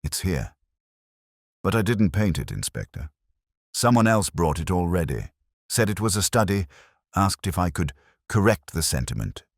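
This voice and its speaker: A man's voice: low voice, very animated, normal speed, pauses often, no room sound, studio quality, exaggerated voice. A man voicing an uneasy, candid painter under questioning in a detective audio story, hesitant and plain-spoken.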